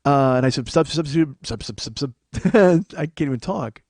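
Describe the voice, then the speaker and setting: A man hosting a podcast, riffing in a profane, excitable comedic monologue into a studio microphone. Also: mid-range voice, fairly quick, dry recording. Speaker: podcast host.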